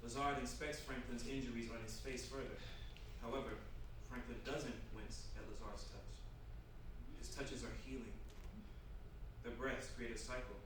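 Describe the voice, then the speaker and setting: A man reads stage directions in a measured, dramatic manner, pausing deliberately. The recording is very echoey and distant, with clear noise behind him. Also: mid-range voice, fairly even intonation. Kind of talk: dramatic script narration at a staged reading.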